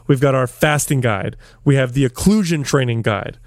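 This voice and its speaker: A man co-hosting a podcast, loud, profane and joking in casual banter. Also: medium pitch, moderate pace, no room sound, clean studio sound. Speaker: podcast co-host.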